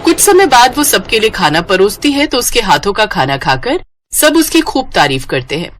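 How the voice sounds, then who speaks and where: A woman's voice: mid-range voice, very animated, normal speed, slight background noise. A woman narrating a scripted moral story as its storyteller.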